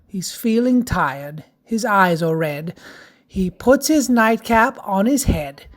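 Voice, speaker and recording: A woman narrating a rhyming children's picture book in a theatrical, sing-song, deliberate way. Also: fairly high pitch. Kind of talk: dramatic read-aloud of a rhyming picture book.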